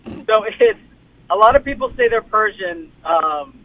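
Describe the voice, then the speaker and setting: A man's voice: high-pitched, expressive, unhurried. A young man from the United States, a guest on a call-in chat, explaining things politely with a few hesitations. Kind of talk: casual chat. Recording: tinny phone line with faint noise.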